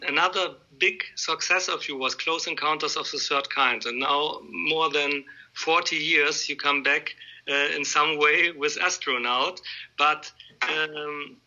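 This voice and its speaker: German-accented guy interviewing, really bright sharp voice, bit high, hesitant phrasing, dullish lo-fi sound. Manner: hesitant, piecemeal questions in non-native English. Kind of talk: conversational film interview. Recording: dull, lo-fi interview audio.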